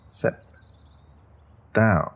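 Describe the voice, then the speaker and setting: A man playing a menacing but courteous evil sorcerer in a fantasy audio roleplay, speaking in measured, polite phrases with veiled threat. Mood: polite menace.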